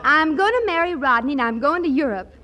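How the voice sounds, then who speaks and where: Naive, high-voiced young American woman. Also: animated, fairly quick, over a noisy crowd. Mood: earnest. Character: naive, sweet, earnest young woman in love. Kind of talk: 1940s radio comedy acting. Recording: dull, noisy 1940s radio recording.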